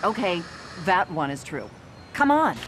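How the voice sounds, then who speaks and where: A woman voicing a serious, sharp-minded investigator in a video game, earnest and matter-of-fact, with noise behind her. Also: fairly low pitch, sing-song, a little slow. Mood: resolute. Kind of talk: animated video game acting.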